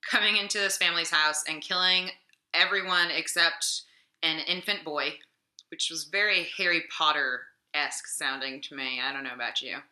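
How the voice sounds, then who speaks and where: Chatty young woman, low voice, bright tone. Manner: chatty, rambling, filler-heavy. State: slightly sick voice. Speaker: book vlogger. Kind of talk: casual weekly book talk. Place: home room. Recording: echoey room.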